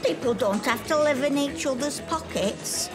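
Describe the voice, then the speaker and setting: Frank, unbothered woman, high voice, relaxed attitude, TV drama with loud music behind. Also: normal speed.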